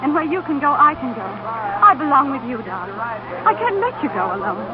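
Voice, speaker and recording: Devoted young wife, high voice, pleading, radio melodrama, thin tinny sound with clear noise. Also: female voice, very animated, a little fast. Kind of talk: old-time radio drama acting. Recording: tinny, noisy old radio broadcast.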